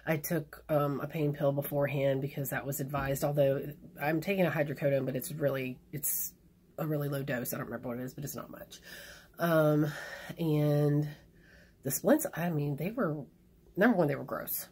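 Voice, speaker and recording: Low husky woman vlogging casually. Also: steady tone, normal speed, a little mellow. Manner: chatty, frank, rambling with fillers. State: hoarse after surgery. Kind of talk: casual video diary.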